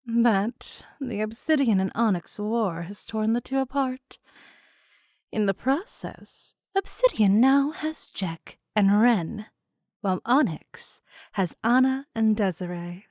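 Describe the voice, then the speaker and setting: A woman acting a mysterious fantasy storyteller, narrating in a deliberate, portentous way. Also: mid-range voice, darkish tone, no echo, clean studio sound. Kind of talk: dramatic scripted narration.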